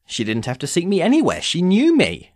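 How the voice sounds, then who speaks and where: A man's voice: high-pitched, wildly swooping pitch, a little fast, dry recording, heavily acted. A man playing an urbane immortal sorcerer in an audio drama, speaking formally with dry, sardonic impatience. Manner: wry, formal, theatrical phrasing.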